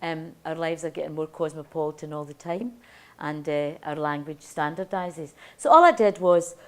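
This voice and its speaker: An older woman with a Motherwell Scottish accent, softened by Glasgow, reading her poems aloud and talking casually between them as a poet giving a lecture. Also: deep voice. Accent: Motherwell Scottish, Glasgow-tinged. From Scotland. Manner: rhythmic, deliberate reading of verse, then chatty asides with ums. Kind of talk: poetry reading and lecture talk.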